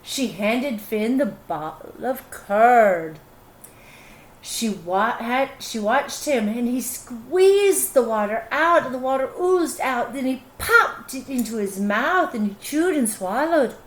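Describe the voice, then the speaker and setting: A woman reads a storybook to children in a fairly high voice. She goes slowly and expressively, pausing for simple teacherly asides. The room gives her voice a clear echo. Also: lively intonation. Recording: echoey room.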